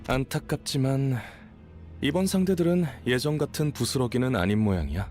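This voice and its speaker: A man's voice: mid-range voice, expressive, racing, a little mellow, faint music behind, natural voice. An actor voicing a man who gives sly, informal mission briefings in a video game. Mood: casual, knowing. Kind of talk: Korean game voice acting.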